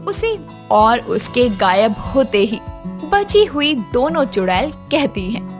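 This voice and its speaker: Slow, lively woman story narrator. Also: on the high side. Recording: loud music behind the voice.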